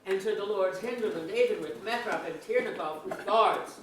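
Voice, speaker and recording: A woman with a middling pitch reads stage directions as narration for a play reading. She sounds far from the microphone in a very echoey auditorium, with faint noise. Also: normal speed. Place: museum auditorium.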